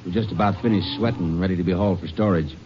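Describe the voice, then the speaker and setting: A man's voice: sits low, fast, natural voice. A man acting as a defensive, stubborn rural feed salesman in a 1950s radio drama, blunt and insistent. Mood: guarded. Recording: muffled, faintly noisy old radio recording.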